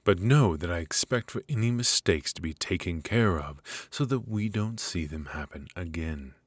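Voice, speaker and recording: A man's voice: sits low, expressive, normal speed, dry recording. A man voicing a cold, strict royal professor of magic, stern and clipped, with dry, condescending remarks in an ASMR roleplay.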